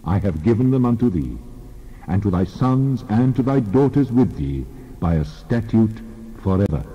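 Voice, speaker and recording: An actor playing God as a solemn, commanding lawgiver in a dramatized scripture reading. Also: male voice, lowish voice, expressive, moderate pace, dark tone, slightly rough. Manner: solemn, declarative. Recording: long reverb, dull band-limited sound.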